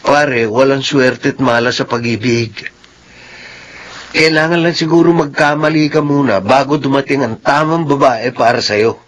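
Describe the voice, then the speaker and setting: A man's voice: mid-range voice, fairly even intonation, moderate pace, a bit bright, natural voice. A man playing an easygoing young friend who gives casual advice in a Filipino radio drama. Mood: sympathetic. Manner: casual, advising. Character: Randy, easygoing young male buddy giving advice.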